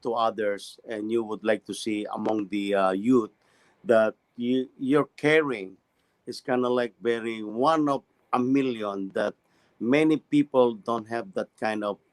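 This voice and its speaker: A man's voice: fairly low pitch, steady tone, no echo. Man hosting an interview. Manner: halting non-native English, effusive praise. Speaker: interview host. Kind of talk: interview questions and comments.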